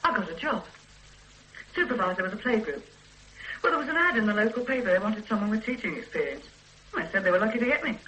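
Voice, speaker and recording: An actress playing a sharp-tongued, sarcastic housewife in a radio drama. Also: female voice, mid-range voice, very animated, fast talker, pauses often, poor audio. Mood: hopeful. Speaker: detective's wife. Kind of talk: 1980s radio drama acting.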